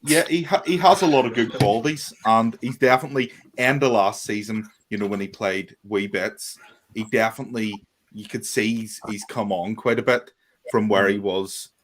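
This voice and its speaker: A man's voice: on the low side, moderate pace. A man with a Northern Irish accent giving his view as a guest on a casual podcast chat, earnest and full of restarts. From Northern Ireland. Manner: earnest, hesitant with many restarts.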